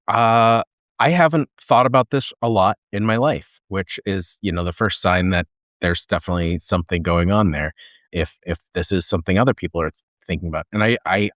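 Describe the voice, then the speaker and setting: A man with a very deep voice muses out loud on a podcast, working through his thoughts with loose everyday fillers. The sound is dry and close. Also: dry recording. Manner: reflective, with fillers like "you know" and "like". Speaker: podcast co-host. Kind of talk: casual discussion.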